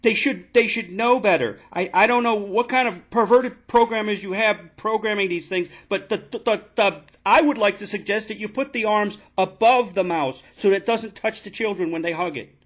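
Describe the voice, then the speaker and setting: High-pitched aggrieved dad , acted by a man. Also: a bit bright. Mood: indignant, agitated. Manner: halting, repetitive, insistent, addressing listener as ma'am. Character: aggrieved, indignant father lodging an absurd complaint. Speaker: prank caller. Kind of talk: prank phone call, mock complaint.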